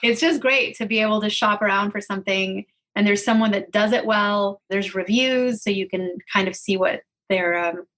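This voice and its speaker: A woman's voice: medium pitch, normal speed, hardly any pauses, a bit bright. Conversational woman hosting an interview. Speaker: podcast host interviewing a guest.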